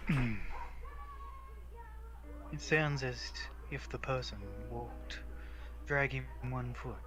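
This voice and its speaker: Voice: medium pitch, fairly even intonation, slow pace. A giggly, playful game streamer who switches between casual chat and dramatic read-aloud narration, with game music behind. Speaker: streamer reading a visual novel.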